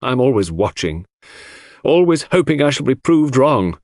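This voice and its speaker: A man voicing a proud, blunt and conflicted woman in a mystery audiobook, halting yet emphatic and defiant. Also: medium pitch, sing-song, a little mellow, no echo, studio quality, voice pushed up, natural voice. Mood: anguished.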